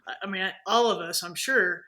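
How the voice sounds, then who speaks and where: A woman who is a band conductor, a guest on a podcast, telling anecdotes in an animated way and acting out quoted speech. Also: medium pitch, brisk. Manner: animated storyteller, acts out quotes. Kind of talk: casual conversation, anecdotes.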